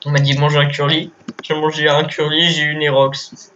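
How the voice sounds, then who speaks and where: A young man who has a cold, joking around excitedly while playing an online game and stumbling over his words. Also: a little high, normal speed. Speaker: gamer and channel host. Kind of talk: casual gaming chat. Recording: slightly muffled home mic with faint hiss.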